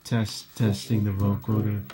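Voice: low voice, level tone, measured pace, dark tone, a little hiss or hum. A vocoder-processed robotic voice of unclear sex repeating short mic-check test phrases as a synthesizer demo. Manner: repetitive mic-check delivery. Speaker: musician demonstrating a synthesizer. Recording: synthesizer tones over the voice. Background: synthesizer keyboard playing.

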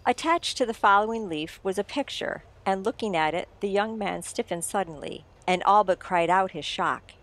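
A woman playing a suave mystery writer in a radio drama, telling the tale in a deliberate, teasing, theatrical manner. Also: medium pitch, lightly acted. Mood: dramatic storytelling. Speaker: audio drama actor. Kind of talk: old-time radio mystery narration.

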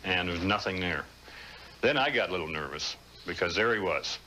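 A man's voice: low-pitched, animated, brisk, bright, forceful tone, slight background noise, low-quality recording. A middle-aged man with an American accent, a firefighter, recalling events in an interview. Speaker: firefighter captain. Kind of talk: interview recollection.

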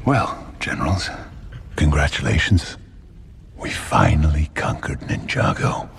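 An actor playing a vain, volatile cartoon villain warlord, sarcastic and swinging from casual chat to outrage. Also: male voice, lowish voice, big pitch swings, quick pace, vocal fry, fairly bright tone, slight background noise, heavily acted. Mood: dry sarcasm. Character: theatrical four-armed male villain warlord, vain and volatile. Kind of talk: comedic animated film acting.

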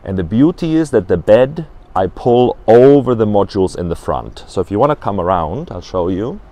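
A man's voice: fairly low pitch, lively intonation, moderate pace, warm tone. A man in his forties at a trade fair, walking through a camper van and explaining its fittings, with many hesitant fillers, on a lapel mic in a large exhibition hall. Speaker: company representative at a trade fair stand. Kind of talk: product walkthrough.

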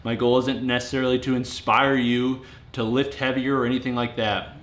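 A man's voice: medium pitch, flat delivery, moderate pace, fairly bright tone. Late-twenties male vlogger, casual and sincere, roomy garage sound. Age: 20s. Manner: earnest, plainspoken, with fillers and restarts. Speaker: home gym reviewer. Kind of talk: casual vlog talk to camera. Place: home garage gym, indoors. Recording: roomy garage echo, faint noise.

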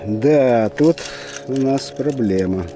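Man, middle-aged, fishing vlogger, calm running commentary, action camera outdoors, steady wind and water noise. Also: mid-range voice. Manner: calm, unhurried, talking to himself between casts. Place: small inflatable boat on open water, outdoors. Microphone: action camera on the boat and chest.

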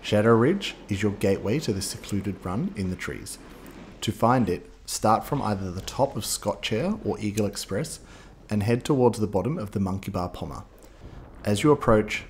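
A man's voice: fairly low pitch, normal speed, darkish tone, slight background noise. A man giving upbeat scripted voice-over narration, guiding listeners step by step like a ski guide.

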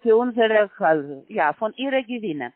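A man who is not a native German speaker, making a sales pitch on a phone call in halting, broken German full of fillers. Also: animated, dry recording. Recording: phone line, dry.

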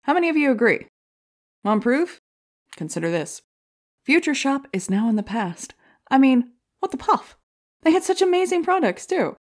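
A woman's voice: sits high, very animated, moderate pace, long pauses. An actress playing a brash, self-deprecating female stand-up comic, delivering jokey rhetorical setups and punchlines in an audio drama. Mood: chatty, incredulous. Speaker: comedy contest contestant.

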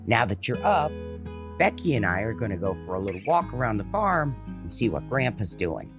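A woman playing a gentle, affectionate elderly farm grandmother in an audio drama. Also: rumbling low, big pitch swings, moderate pace, heavily acted. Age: older. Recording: muffled audio under guitar music.

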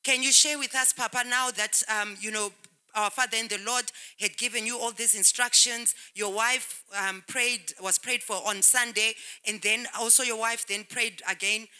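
Bright-voiced woman presenting a testimony. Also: fairly high pitch, fairly even intonation, normal speed. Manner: emphatic, addresses people of God. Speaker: church presenter. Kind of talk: testimony introduction.

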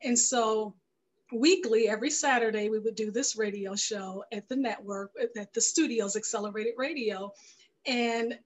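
Higher-pitched middle-aged woman telling her story. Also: moderate pace, poor audio. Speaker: filmmaker and radio show host being interviewed. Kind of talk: conversational storytelling in an interview. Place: indoor room on a video call. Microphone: headset on video call.

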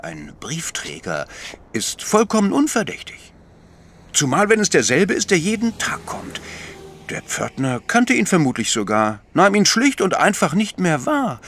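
An actor playing an astute, patient priest detective in a German audio drama, explaining deliberately with gentle chiding. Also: male voice, mid-range voice, slight background noise, exaggerated voice.

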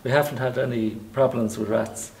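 A middle-aged man from Ireland with an Irish Midlands accent, a farmer talking in a casual interview, halting with many restarts and fillers. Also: medium pitch, steady tone, vocal fry, darkish tone, a little hiss or hum. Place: outdoors in a field, then inside a farm shed.

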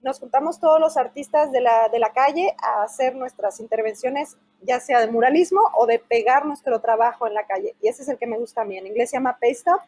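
A woman's voice: a little high, brisk, few pauses. A woman in her thirties from Mexico with a Mexican Spanish accent, an illustrator talking animatedly in a video call interview over an earphone mic. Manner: animated, gestures while talking. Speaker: illustrator and children's author. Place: indoor room at home. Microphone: earphone headset mic on a video call.

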